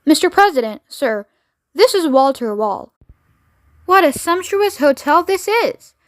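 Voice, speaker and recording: A woman's voice: high-pitched, very animated, unhurried, no echo, studio quality. A woman voicing a pompous, fawning male hotel manager who gushes over everything.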